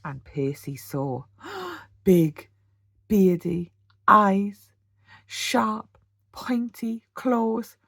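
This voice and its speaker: A British woman, a teacher, reading a children's storybook aloud in an animated bedtime-story style. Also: mid-range voice, drawn-out words, no echo, clean studio sound. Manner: animated, with gasps and sound words.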